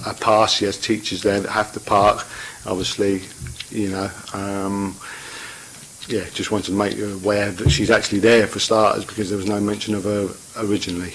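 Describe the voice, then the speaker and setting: A man's voice: fairly low pitch, fairly bright tone, slight background noise, poor audio. A man speaking from the floor at a council meeting, plain-spoken and hesitant, with many fillers. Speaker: member of the public addressing a council meeting. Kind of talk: public comment.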